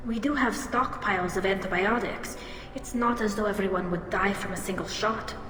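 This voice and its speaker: A woman voicing a young female alien in a video game, earnest and measured, anxious yet resolute. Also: medium pitch, fairly bright tone, lightly acted. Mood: concerned, grateful. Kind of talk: video game dialogue acting. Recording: echoey hall, faint ambient noise.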